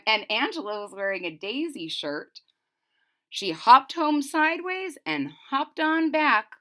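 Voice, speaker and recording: A woman's voice: on the high side, pushed and bright. A woman reading a children's storybook aloud expressively and explaining it to young listeners in asides. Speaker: storybook reader.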